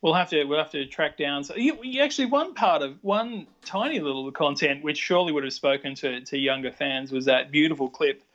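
A man's voice: fairly high pitch, expressive, a little fast, few pauses, fairly bright tone. An older man with an Australian accent, chatting casually on a podcast, rambling with many restarts. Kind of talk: casual chat. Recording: dull lo-fi sound.

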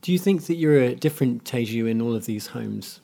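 A man interviewing an author at a literary event, with measured, tentative phrasing. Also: mid-range voice, animated, gravelly, mellow.

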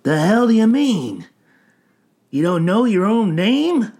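Story role: edgy, curious male hobo done by a male narrator, high and animated, stumbling over folksy words. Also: measured pace, husky, heavily acted. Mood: suspicious. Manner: stammering, folksy speech.

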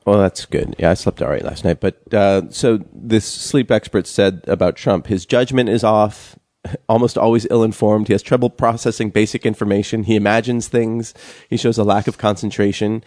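A young man co-hosting a casual chat show, talking haltingly with many fillers and restarts. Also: lowish voice, steady tone, darkish tone, no echo, clean studio sound. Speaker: podcast co-host.

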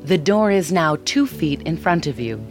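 Low-pitched female app assistant. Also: normal speed, soft background music. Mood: neutral. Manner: flat, precise announcing. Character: female seeing-eye app assistant, neutral and mechanical. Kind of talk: audio drama voice assistant.

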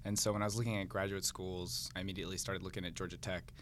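A man's voice: deep voice, little pitch movement, quick pace, a little hiss or hum. A young man from the United States, an MBA student guest, talking in a laid-back way with dry humor. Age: young adult. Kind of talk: casual interview chat.

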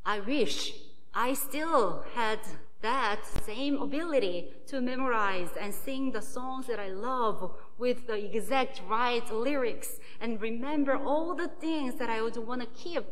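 A woman pastor whose first language is Korean delivers a prepared sermon at a slow, careful pace. Her voice sits a little high, and it rings with a long reverb as if in a large hall, with a faint hum behind her. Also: fairly bright tone.

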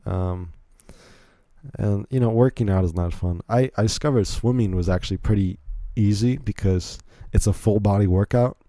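A man's voice: on the low side, moderate pace, dark tone, dry recording. A young man from Virginia hosting a solo podcast, rambling casually with frequent restarts and self-deprecating asides. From Virginia, USA. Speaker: podcast host.

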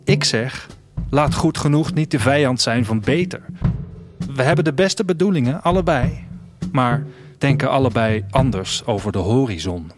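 Male actor as restless, reflective wanderer, audio drama monologue, intimate delivery, medium pitch, clear music bed. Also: lively intonation, normal speed, natural voice. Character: restless young dreamer of 2104 longing to travel.

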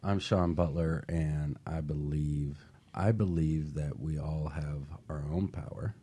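A man's voice: a bass voice, fairly even intonation, a little slow, mellow, slight background noise. A man hosting a radio talk show, introducing and presenting to listeners and guests.

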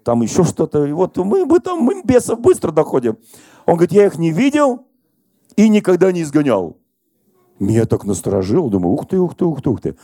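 A middle-aged man preaching a sermon as pastor, telling stories and questioning the congregation in a lively conversational way. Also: medium pitch, dry recording, clean studio sound. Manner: conversational, animated storytelling to congregation.

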